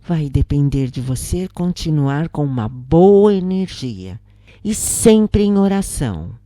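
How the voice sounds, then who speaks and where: A calm female spiritist counselor played by a woman narrator gives solemn guidance in a Brazilian accent. Her storybook lines come slow and low, with a mellow, reassuring tone. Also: dry recording, clean studio sound.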